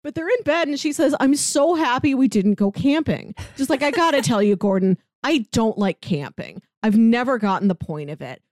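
A woman co-hosting a podcast in casual chat, telling stories animatedly, acting out quotes and slipping in quick asides. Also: on the high side, no room sound. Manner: animated storyteller, acts out quotes, quick asides, laughs often. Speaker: podcast co-host.

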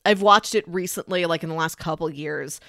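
A woman's voice: fairly low pitch, fairly quick, pushed and bright, no echo, clean studio sound. A woman co-hosting a film podcast in casual chat, acting out bits of dialogue as she retells scenes. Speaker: podcast co-host. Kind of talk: casual chat about films.

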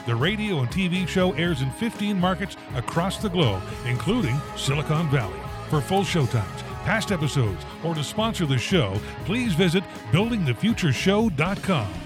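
Animated male announcer over music. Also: fairly high pitch, moderate pace, few pauses. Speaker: show announcer. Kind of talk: scripted promotional intro narration. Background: music bed.

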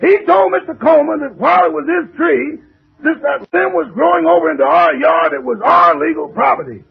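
A man playing a cheerful, wry household servant with a raspy voice in a 1950s radio comedy. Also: very high voice, very animated, brisk, heavily acted. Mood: musing. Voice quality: very raspy, gravelly. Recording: dull, lightly noisy old radio recording.

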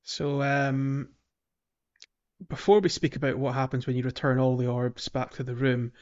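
A man's voice: medium pitch, level tone, normal speed, a little mellow, smooth, lo-fi sound. A man hosting a podcast, talking casually through a video game in a hesitant, explanatory way with colloquial British phrasing. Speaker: podcast host.

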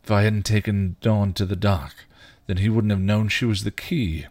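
A man voicing a bitter, guilt-ridden male vampire, rough and full of crude slang. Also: lowish voice, fairly even intonation, moderate pace, darkish tone, no echo, voice pushed up, close to an everyday voice. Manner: snarling, rough, clipped slang. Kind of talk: fan fiction reading.

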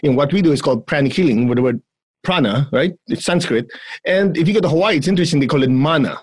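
A man with a Chinese accent teaching energy exercises in a podcast chat, playful and quick to laugh between calm instructions. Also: on the high side, husky. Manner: playful, laughs often, breaks into giggles.

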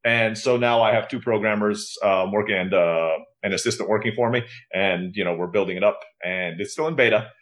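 A man's voice: on the low side, brisk, hardly any pauses, fairly bright tone. A man from Istanbul, Turkey, explaining things casually and fluently as an interview guest, with self-deprecating asides. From grew up in Istanbul, Turkey. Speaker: podcast guest, entrepreneur.

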